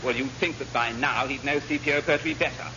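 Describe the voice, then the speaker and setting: A man's voice: medium pitch, a little fast, very noisy. An English man narrating a classic radio comedy from a script, as the announcer. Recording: muffled old radio recording.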